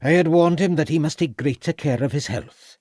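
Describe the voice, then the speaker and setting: A man's voice: a little high, a little fast, darkish tone, no room sound, clean studio sound, pitched above the performer's own voice, close to an everyday voice. A man doing a pompous Welsh old doctor. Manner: pompous, assured. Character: self-important elderly country doctor.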